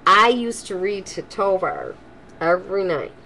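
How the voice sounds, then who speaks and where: An older woman, a grandmother, speaking to children in an expressive, homely storytelling style. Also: mid-range voice, a little slow, rough recording. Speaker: grandmother reading stories for children. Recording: home recording with faint background noise.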